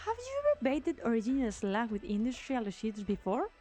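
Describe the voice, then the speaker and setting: Reckless young female mercenary, played by a woman, high swooping voice, teasing and sardonic, anime game dub. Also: sing-song, a little fast, warm tone, soft background music. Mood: smug, taunting.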